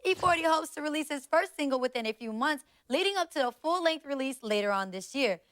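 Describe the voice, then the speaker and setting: A woman's voice: sits high, animated, brisk, fairly bright tone. A woman playing an entertainment news reporter in a video game, reading a scripted report. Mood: brisk, neutral. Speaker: newsreader.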